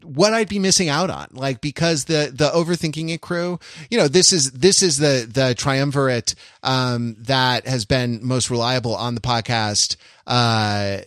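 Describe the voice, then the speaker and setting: A man's voice: mid-range voice, measured pace, few pauses, fairly bright tone, no room sound, clean studio sound. A man co-hosting a podcast in casual chat, with a jokey, rambling way of talking. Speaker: podcast co-host. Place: remote recording on a video call.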